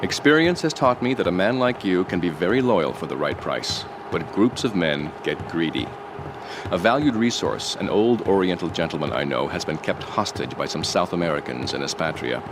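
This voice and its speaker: A man voicing a suave, scheming rich tycoon who speaks in a calm, polished, dryly ironic way. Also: low-pitched, moderate pace, background noise. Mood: smooth.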